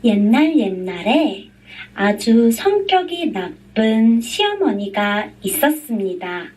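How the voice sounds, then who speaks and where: A woman's voice: a little high, big pitch swings, rapid-fire, darkish tone. A woman reading scripted material for language learners as a narrator, heard as played-back audio from a distance. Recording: distant playback through a speaker. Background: recorded audio played back during a review.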